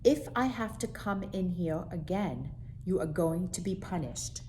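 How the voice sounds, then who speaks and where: A woman's voice: on the low side, lively intonation, unhurried, mellow, slight background noise. A woman voicing a firm mother putting her son to bed, speaking in a scolding tone. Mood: stern. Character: stern mother tucking her boy in.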